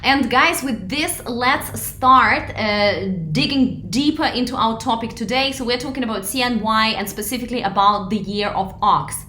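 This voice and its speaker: A woman's voice: fairly high pitch, moderate pace, hardly any pauses, pushed and bright, echoey room, slight background noise. A woman hosting a live audio panel, who guides the talk and welcomes each speaker. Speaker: host.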